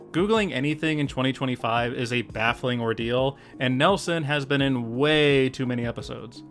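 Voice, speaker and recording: A man's voice: medium pitch, a bit bright. A man hosting a video essay, narrating in a casual, jokey, emphatic way with mock exasperation. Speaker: video host, YouTuber. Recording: music behind the voice. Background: background music.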